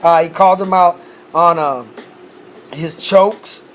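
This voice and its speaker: A man's voice: fairly high pitch, takes it slowly, soft background music. A man who says he is losing his voice, recapping a rap battle in a casual, profane way and often saying "you know what I'm saying".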